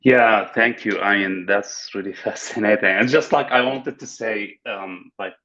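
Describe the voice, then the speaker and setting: A man's voice: fairly low pitch, measured pace, bright, forceful tone. A man who grew up in Syria, speaking up as an audience member in an online seminar, talking informally with frequent "like" fillers. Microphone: video call. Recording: muffled video-call audio.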